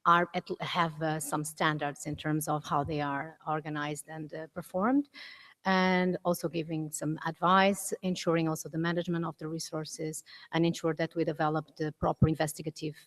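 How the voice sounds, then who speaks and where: Woman, Portuguese accent, deep voice, very even intonation, hesitant unscripted conference speaking. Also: moderate pace. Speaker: prosecutor, conference panel speaker. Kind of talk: unscripted self-introduction at a conference.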